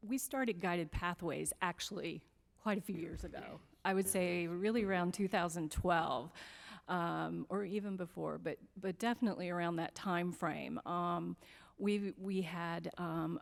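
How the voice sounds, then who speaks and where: A woman's voice: fairly low pitch, vocal fry, long reverb tail. A woman administrator answering a board's question, hesitant and full of fillers. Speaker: college district administrator. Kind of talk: formal meeting answer.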